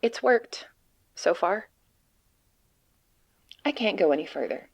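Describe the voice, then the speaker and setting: A woman playing a frightened, despairing agent trapped among monsters, delivering a halting, grimly sardonic confessional monologue in an audio drama. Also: mid-range voice, animated, creaky, a little mellow, slightly rough, full character voice. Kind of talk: dramatic monologue reading of a written report.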